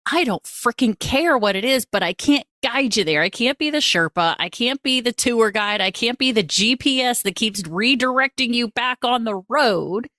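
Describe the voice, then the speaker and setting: A woman's voice: on the high side, animated, moderate pace, hardly any pauses, sharp tone, no room sound, clean studio sound. A woman hosting a podcast and coaching, chatting in a casual, emphatic and gushing way, often repeating phrases for effect. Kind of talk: casual, animated interview chat.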